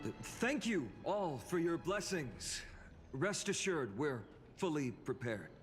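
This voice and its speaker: An actor playing a gallant, earnest young fantasy hero in a video game, speaking in a measured, courteous way. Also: male voice, fairly high pitch, big pitch swings. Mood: formal, grateful. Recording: long reverb, game music behind.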